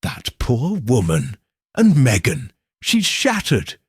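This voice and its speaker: A man's voice: mid-range voice, huge pitch swings, slow pace, breathy edge, dry recording, clean studio sound, heavily acted. A man voicing a shaken, downcast young woman in an audiobook, distressed and sympathetic. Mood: shaken, pitying. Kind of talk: audiobook character dialogue.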